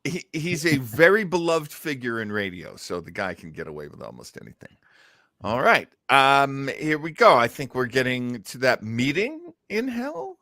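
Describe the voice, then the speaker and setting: A man's voice: medium pitch, huge pitch swings, normal speed, sharp tone, dry recording. A man hosting a podcast with conversational commentary. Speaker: podcast host.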